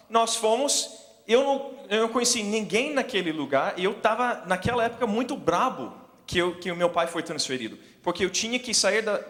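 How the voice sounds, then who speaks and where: A man in his forties with an American accent, a native English speaker, preaching through personal stories in a self-deprecating, halting way. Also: high voice, fairly bright tone. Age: 40s. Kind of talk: sermon with personal storytelling. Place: large indoor hall, speaking to an audience. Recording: long hall reverb from PA.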